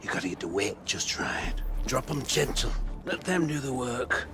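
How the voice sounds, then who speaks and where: An actor playing a callous, gloating Victorian hangman thug in a video game, hushed and breathy. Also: medium pitch, very animated, moderate pace, background noise. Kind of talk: video game cutscene acting.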